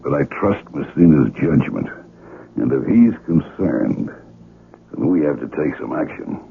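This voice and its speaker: Gravelly, cold spymaster boss. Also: male voice, a bass voice, sing-song, heavily acted. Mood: cold, calculating. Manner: deliberate, authoritative, analytical. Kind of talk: 1970s radio drama acting. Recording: muffled old radio recording.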